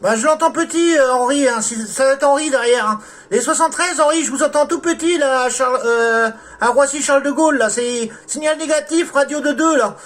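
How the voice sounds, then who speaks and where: A man's voice: very high-pitched, brisk, few pauses, a bit bright. A man from France chatting casually as an amateur radio operator, with courteous sign-offs. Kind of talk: casual radio contact chat.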